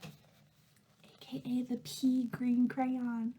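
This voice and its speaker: A woman's voice: on the high side, completely flat delivery, slow, dark tone, rough recording. A woman reading a children's picture book aloud, adding chatty asides to the listener. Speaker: children's story reader.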